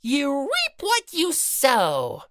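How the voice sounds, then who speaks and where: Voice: high voice, huge pitch swings, drawn-out words, fairly bright tone, no room sound, studio quality, voice pushed up, full character voice. An audiobook reader voicing a gleefully cruel, vain, bossy bear mascot villain with mocking, theatrical delivery. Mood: bossy, scolding. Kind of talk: character voice in fan audiobook.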